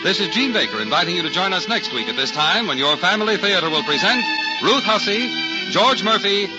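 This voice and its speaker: A man's voice: sits high, sing-song, brisk. An American man announcing in a polished, formal, scripted 1940s radio style. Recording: muffled 1940s radio recording. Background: loud orchestral music.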